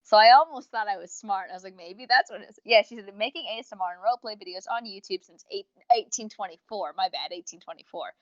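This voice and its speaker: A woman's voice: mid-range voice, fast talker, hardly any pauses, dry recording, highs cut off. A woman co-hosting a casual fan discussion, talkative and rambling, with slangy phrasing and acted-out asides. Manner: rambling, talkative, slangy, acts out imagined remarks. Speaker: podcast co-host reviewing audio dramas.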